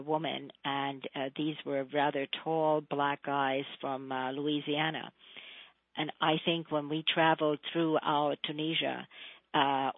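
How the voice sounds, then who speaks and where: Woman, middle-aged, from Hungary, diplomat guest; earnest, measured; dry, phone-line sound. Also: low voice, dry recording. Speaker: Foreign Service officer. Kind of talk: radio interview guest.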